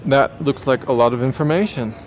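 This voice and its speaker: A man's voice: medium pitch, a little fast, lightly acted. A man playing an anxious, hesitant young adult in an audio play, faltering and unsure. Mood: uncertain, polite. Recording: noisy background ambience.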